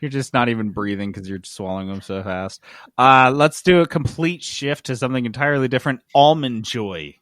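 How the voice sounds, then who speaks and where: A man's voice: medium pitch, a little fast, fairly bright tone, no echo, studio quality. A man hosting a casual podcast chat, talking informally and jokily with plenty of slang. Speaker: podcast host. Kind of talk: casual chat about games and candy.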